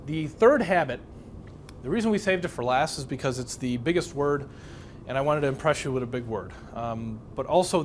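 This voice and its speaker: A man's voice: on the high side, slight background noise. A young man whose first language is Polish, from Chicago, teaching a live workshop in a conversational way and asking the audience many questions. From Chicago, USA. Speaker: speed reading instructor.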